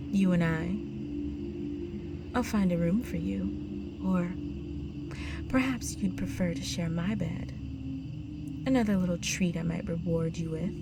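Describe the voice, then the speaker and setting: Woman as a vampire countess, seductive, possessive, lowish voice, very slow delivery, breathy and commanding, intimate audio roleplay, prominent music behind. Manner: slow, sultry, commanding, often dropping to breathy whispers. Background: loud music.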